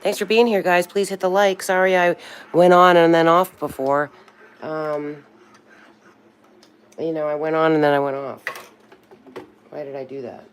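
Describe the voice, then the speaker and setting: A woman in her sixties with a low voice chats loosely on a home livestream. She drifts between topics and hums bits of songs to herself. A faint room hum sits behind her. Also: level tone, normal speed, long pauses. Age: 60s. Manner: chatty, sings snatches of birthday songs to herself. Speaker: livestream host. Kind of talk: rambling casual livestream chat.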